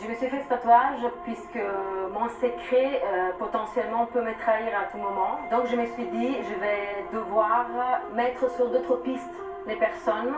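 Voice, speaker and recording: A woman's voice: fairly high pitch, steady tone, unhurried, few pauses. A 28-year-old woman from Italy with a light Italian accent, answering interview questions in a teasing, self-assured way. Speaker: reality show contestant. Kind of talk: televised interview. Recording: roomy, muffled, distant TV audio with music.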